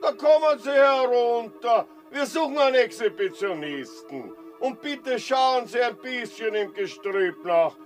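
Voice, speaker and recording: A man's voice: extremely high pitch, animated, a little slow, soft background music. An actor playing an officious policeman who gives instructions and warnings in a radio drama. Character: officious policeman, calling out, then confiding warnings.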